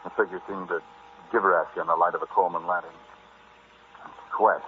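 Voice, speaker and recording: A man's voice: low-pitched, fairly even intonation, quick pace, lightly acted. An actor in an old-time radio drama playing a flippant, stubborn explorer husband who hides his fear, telling his tale in a wry, conversational way. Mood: haunted. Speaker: narrator and lead actor. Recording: muffled, noisy vintage radio recording.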